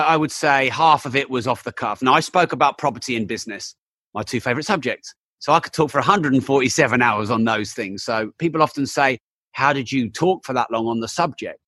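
A man being interviewed as an entrepreneur guest, talking in an energetic, casual way with quick restarts. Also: medium pitch, no echo. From England. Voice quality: voice still not fully recovered.

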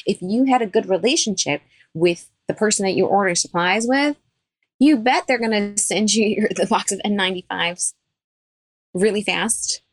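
A woman's voice: medium pitch, moderate pace, dry recording. A woman dentist, a guest on a podcast interview, giving firm and emphatic advice.

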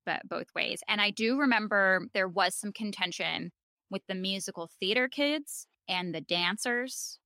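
A woman's voice: mid-range voice, sharp tone, no room sound, clean studio sound. A young woman co-hosting a casual TV recap chat, telling anecdotes emphatically in very informal speech. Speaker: podcast co-host.

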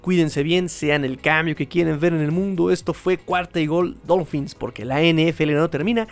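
Casting: Mexican male sports podcaster, fairly high voice, informal solo commentary with colloquial, chatty delivery. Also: fairly even intonation, normal speed, soft background music.

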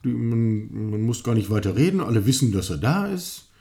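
A man hosting a casual conversational podcast, playful and lively, sitting across from his guest at a studio mic. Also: mid-range voice, moderate pace, gravelly. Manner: playful, lively, toys with his own phrasing. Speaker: podcast host, futurist.

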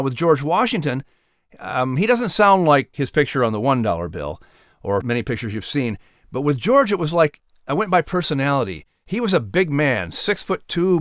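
A man with a mid-range voice talks like an announcer being interviewed. He explains things conversationally. The sound is close and free of room echo. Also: mid-range voice. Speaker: announcer and audiobook voice actor.

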